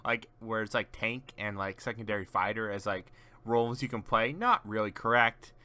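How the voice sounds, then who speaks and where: A man's voice: lowish voice, moderate pace, sharp tone. A man casually chatting on a gaming stream, giving rambling, teasing explanations to a friend as he shows off game characters.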